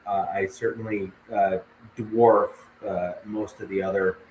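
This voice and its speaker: A Canadian man co-hosting a live sports podcast, talking in a measured, polite way with lots of "uh" fillers. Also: on the low side, flat delivery, darkish tone, roomy sound, slight background noise, low-quality recording. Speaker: podcast co-host. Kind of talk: casual live-stream chat.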